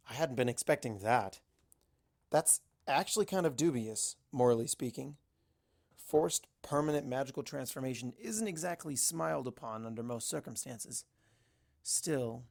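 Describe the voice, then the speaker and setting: A woman's voice: very deep voice, gravelly, a little mellow. A woman reading a first-person story aloud as an amateur narrator. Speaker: amateur fan fiction narrator.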